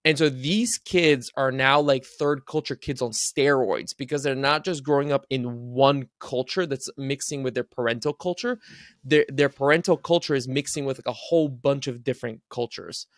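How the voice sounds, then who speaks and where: A young man from Bulgaria, a native Bulgarian speaker, talking casually and quickly as a podcast guest over a video call from home, using lots of filler words. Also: mid-range voice, dry recording, clean studio sound. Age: young adult. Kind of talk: casual interview talk. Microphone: studio mic on desk.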